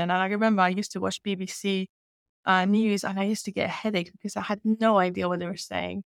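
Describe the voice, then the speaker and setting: Gravelly-voiced woman from Iran. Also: medium pitch, no room sound, clean studio sound. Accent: mixed Scottish-English. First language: Persian. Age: 50s. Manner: fluent, steady telling of her career with fillers. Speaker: guest, company founder. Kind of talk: conversational interview.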